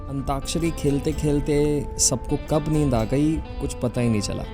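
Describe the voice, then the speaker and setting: Male narrator, calm reflective voice-over, mid pitch, music playing underneath. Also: moderate pace. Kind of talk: relaxed travel voice-over. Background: background music.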